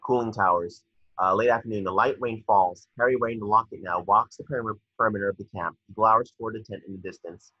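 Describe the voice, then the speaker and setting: Husky low-voiced man narrating a script. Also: fairly even intonation, quick pace, no echo. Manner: stumbles over words. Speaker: narrator reading stage directions. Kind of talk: scripted narration at a table read. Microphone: video call. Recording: dull lo-fi video call audio.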